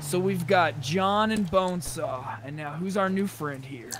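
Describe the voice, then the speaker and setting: A man's voice: sits high, a little slow, fairly bright tone, a little hiss or hum. A man talking casually in character while playing an online roleplay game. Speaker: roleplay gamer.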